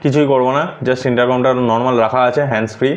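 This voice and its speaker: A man presents like a product vlogger. He talks quickly at a medium pitch, in a casual, explanatory way, dropping English words into his sentences. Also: fairly even intonation, lo-fi sound, a little hiss or hum. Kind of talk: casual hands-on tutorial.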